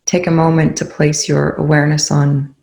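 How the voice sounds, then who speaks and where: A calm, soothing voice leading a guided meditation in gently spaced phrases. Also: medium pitch, moderate pace, gravelly. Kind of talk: guided meditation, soft instruction. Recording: roomy, echoing indoor sound.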